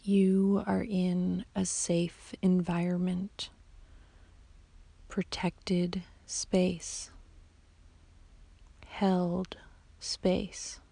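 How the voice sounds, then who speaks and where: A woman guiding a sleep meditation as a yoga nidra teacher, in a soft, whispery, soothing voice with long, unhurried pauses. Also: fairly low pitch, completely flat delivery, creaky, husky, no room sound. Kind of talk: slow guided sleep meditation.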